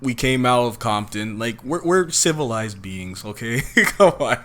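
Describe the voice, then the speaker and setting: A young man co-hosting a casual podcast, reacting with quick exclamations and teasing his friend. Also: mid-range voice, animated, pushed and bright. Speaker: podcast co-host. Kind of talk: casual chat.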